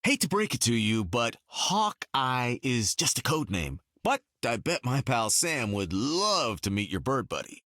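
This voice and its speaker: Wisecracking superhero archer, swooping intonation. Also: male voice, mid-range voice, big pitch swings, unhurried, few pauses, sharp tone, no echo, clean studio sound. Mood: wry. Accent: American. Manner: wry, casual American banter. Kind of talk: video game dialogue.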